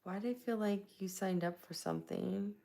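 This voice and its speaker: A soft-spoken woman from Tampa, Florida, chatting casually with viewers while hosting a live stream into a plugged-in microphone. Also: mid-range voice, moderate pace, vocal fry. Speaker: livestream host.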